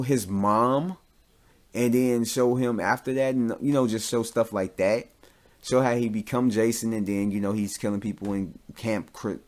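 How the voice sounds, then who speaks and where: Male podcast host, lowish voice, loose unscripted monologue, rambles and restates points. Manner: rambling, repeats points for emphasis. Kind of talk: casual off-the-cuff podcast monologue.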